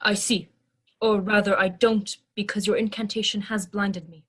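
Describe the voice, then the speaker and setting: A woman's voice: mid-range voice, steady tone, moderate pace, a bit bright, husky. A woman playing a polite, literal-minded first mate in a sci-fi audio drama, speaking in stilted, earnest, formal phrases. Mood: puzzled, polite.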